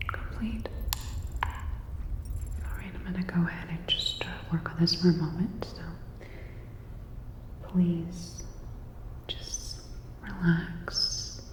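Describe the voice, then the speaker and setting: A woman whispering softly and gently in an ASMR sci-fi roleplay as a calm, caring robot repair technician, with a dull, reverberant sound. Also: on the low side. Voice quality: soft, breathy whisper.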